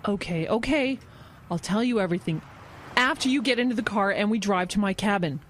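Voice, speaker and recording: An actress playing a fretful, secretive friend in an audio drama, earnest and urgent. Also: female voice, mid-range voice, brisk, lightly acted. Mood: insistent. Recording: faint background noise.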